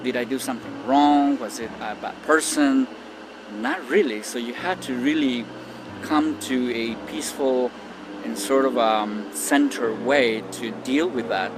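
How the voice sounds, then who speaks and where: A man's voice: medium pitch, a little slow, background noise. Reflective middle-aged man from Costa Rica. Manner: thoughtful, with frequent ums and restarts. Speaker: interviewee. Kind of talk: reflective personal testimony.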